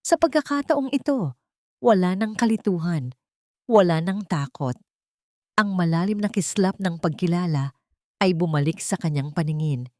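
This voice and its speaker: A woman storyteller with a fairly low voice reads a scripted romantic drama. Her delivery is solemn and melodramatic. The sound is close and dry. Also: expressive, moderate pace, long pauses, warm tone, dry recording. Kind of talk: scripted dramatic Tagalog love-story narration.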